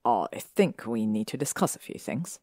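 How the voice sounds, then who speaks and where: An arrogant, possessive young male wizard from a spoken fan story, voiced by a woman reader. The voice sits very low and comes out in a clipped, cocky drawl. It is recorded close and dry, with no room around it. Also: expressive, moderate pace, dark tone, dry recording. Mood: serious.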